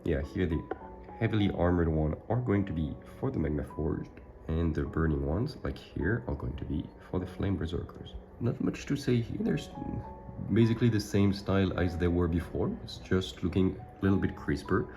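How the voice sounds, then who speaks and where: A man's voice: low-pitched, expressive, moderate pace. A man, sick and a little unclear of voice, chatting casually through a wargaming unboxing as the channel's host, thinking aloud and correcting himself as he goes. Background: background music.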